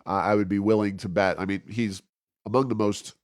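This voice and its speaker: A man with a fairly low voice hosts an informal political podcast. He speaks haltingly, often stammering as he works through his commentary. The sound is dry, with very little room in it. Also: fairly even intonation, darkish tone, no echo. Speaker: podcast host.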